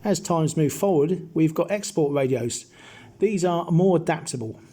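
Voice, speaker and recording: A middle-aged or older man narrating a nostalgic retrospective in an informal, measured explaining way as a hobbyist presenter. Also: a little high, lively intonation, mellow.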